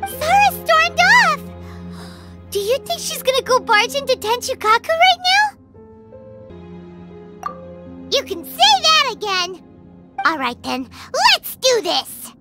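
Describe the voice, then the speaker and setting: A woman voicing a tiny childlike fairy companion in a video game, squeaky, excitable and blunt. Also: unhurried, heavily acted. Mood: excited. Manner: exclamatory, speaks of herself in third person. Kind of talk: animated video game voice acting. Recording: music playing behind the voice.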